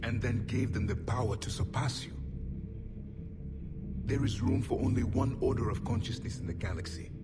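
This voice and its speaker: A man voicing an ancient alien warrior in a video game, proud, bitter and gravely solemn as he speaks. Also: medium pitch, normal speed, over loud music, lightly acted. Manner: grave, haughty, solemn pronouncements.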